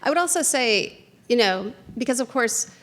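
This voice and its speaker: A woman's voice: fairly high pitch, animated, moderate pace, fairly bright tone. A woman speaker answering audience questions at a conference, thinking aloud with many fillers and restarts. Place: lecture hall.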